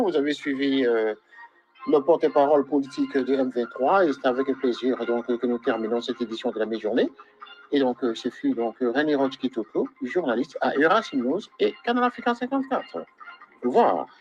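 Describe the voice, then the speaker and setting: A man hosting and closing a news interview as a journalist, formal and filling his pauses with "euh". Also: mid-range voice, a little mellow, lo-fi sound, faint music behind. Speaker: journalist host.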